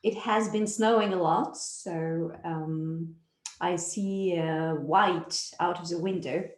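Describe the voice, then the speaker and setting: A woman from France with a French accent, chatting as an interview guest, unhurried and full of fillers. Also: on the low side, fairly even intonation. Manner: unhurried, many fillers and restarts. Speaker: guest, nonprofit founder. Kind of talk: casual interview chat. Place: home in Zurich. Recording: echoey room.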